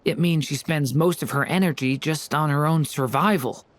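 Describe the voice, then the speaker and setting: A woman's voice: sits low, normal speed, a little hiss or hum, lightly acted. A woman playing a solemn, courteous game character who speaks in formal, precise, restrained words. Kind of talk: English video game dub acting.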